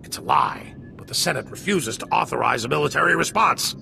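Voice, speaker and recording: An actor playing a grim, determined military general in a video game, gravelly, earnest and authoritative. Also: male voice, a little high, animated, fairly quick, pushed and bright, a little hiss or hum, full character voice.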